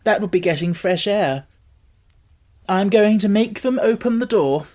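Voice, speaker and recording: A woman's voice: mid-range voice, animated, unhurried, darkish tone, pitched above the performer's own voice, lightly acted. A woman voicing a sickly, imperious young boy who is curious and insistent in manner. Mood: demanding, excited. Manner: demanding, insistent.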